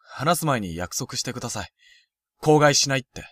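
Man playing a frank, expressive high school boy. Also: normal speed, dry recording, clean studio sound. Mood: serious. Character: frank, proud, sporty first-year high school boy. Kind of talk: Japanese youth romantic comedy voice drama.

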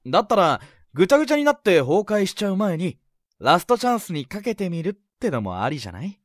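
Man acting a sly, animated teenage senior boy. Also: high voice, fast talker, no room sound, clean studio sound. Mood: sly, persuasive. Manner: smooth-talking, playful, insinuating. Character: sly, teasing high school senior boy, confident schemer. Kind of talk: Japanese romantic-comedy voice drama acting.

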